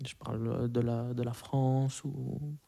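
Young man in his twenties, native Arabic speaker, hesitant interviewee. Mellow tone, average pitch. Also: level tone, a little slow, creaky, slightly rough. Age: 20s. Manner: hesitant, many fillers and restarts. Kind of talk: casual interview answers.

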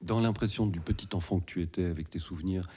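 A male interviewer with a low, mellow voice and a husky grain. He asks open, conversational questions as the host. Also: fast talker.

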